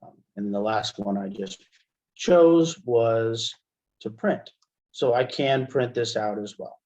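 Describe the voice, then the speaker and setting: A middle-aged American man giving a casual, step-by-step software demonstration as a webinar trainer, speaking into a headset. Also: medium pitch, slow pace, lo-fi sound. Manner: explanatory, step-by-step. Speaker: assistive technology trainer.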